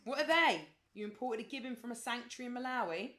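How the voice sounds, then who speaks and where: An actress playing a blunt, loyal, teasing friend in audio play dialogue. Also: female voice, on the high side, fast talker, noisy background, natural voice. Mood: teasing, probing.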